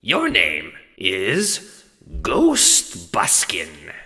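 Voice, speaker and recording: A man voicing a huge skeletal Death in an audio drama, gruff and growling, bored, blunt and crude. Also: low voice, huge pitch swings, extremely slow, pushed and bright, big-room sound, heavily acted. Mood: distasteful, erratic. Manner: blunt, dismissive, swearing. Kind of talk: audio drama character acting.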